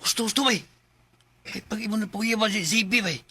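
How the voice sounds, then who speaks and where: A man's voice: sits high, fast, sharp tone, breathy edge, full character voice. An actor playing a cheeky young drinking pal who eggs his friend on in a radio drama. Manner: cheeky, egging on.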